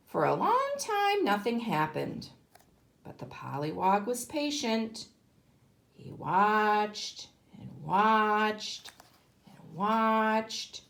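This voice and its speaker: A woman reading a children's story aloud as a kindergarten teacher, deliberate and emphatic, speaking to young children. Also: medium pitch, very animated, gravelly, a bit bright.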